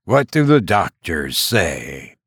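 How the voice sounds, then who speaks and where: A calm, worldly lawyer with a lowered, steady voice and a dry, cynical manner, as a male narrator puts him on. He speaks slowly and deliberately in a close, dry reading. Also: gravelly, breathy edge, dry recording, heavily acted. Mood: measured. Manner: measured, cynical.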